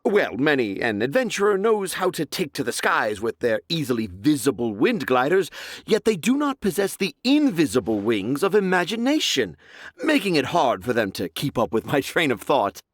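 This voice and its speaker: Young male game character, grandiose, theatrical, florid phrasing, lively pitch swings, lightly high voice. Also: moderate pace, no room sound. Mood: lofty. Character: grandiose, imaginative young male storyteller, theatrical, self-assured. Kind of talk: video game character acting.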